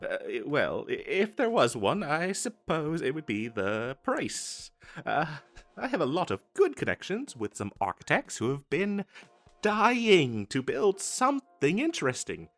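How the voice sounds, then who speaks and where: A man's voice: on the high side, huge pitch swings, measured pace, a bit bright, faint music behind, heavily acted. A man voicing an eager, boastful wizard salesman in a comedic fantasy audio roleplay, with theatrical emphasis and a stammering, hard-selling manner. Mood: flustered, defensive. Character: eager, boastful male wizard salesman hawking magical wares. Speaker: street vendor character in an audio roleplay.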